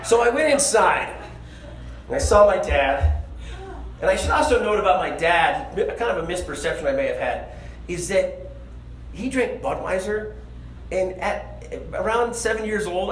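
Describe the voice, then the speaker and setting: Echoey male comic storyteller on stage. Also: sits high. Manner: animated, conversational, self-deprecating. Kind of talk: comedic stage storytelling to an audience. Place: live stage venue. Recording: echoey stage show, audible room noise.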